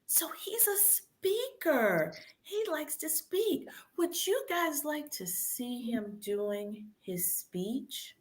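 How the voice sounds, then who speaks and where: A woman hosting a children's read-aloud camp, presenting in simple words and prompting listeners with questions. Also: mid-range voice.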